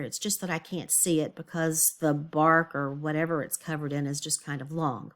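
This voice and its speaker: A woman teacher giving a read-aloud lesson for children, explaining things step by step and thinking aloud. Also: fairly low pitch. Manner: explanatory, asks the listener questions and thinks aloud.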